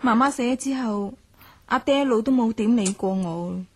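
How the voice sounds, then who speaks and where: Soft breathy young woman, lonely shut-in writer, radio play, pretty high. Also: normal speed. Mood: subdued. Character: lonely confined young writer, rich man's neglected daughter. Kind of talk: radio drama acting. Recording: music under the voice.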